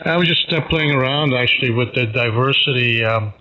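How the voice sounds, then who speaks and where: A man's voice: mid-range voice, brisk, pushed and bright. A man in casual, hesitant conversation as a ham radio operator, heard over a radio transmission. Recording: dull radio link with faint noise.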